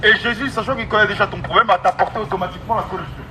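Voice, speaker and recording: High-pitched male street preacher on a megaphone. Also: fairly even intonation, very fast. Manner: exhorting, emphatic, repetitive phrasing. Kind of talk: street sermon, open-air evangelism. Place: outdoors on an urban street. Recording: amplified megaphone, loud outdoor street noise.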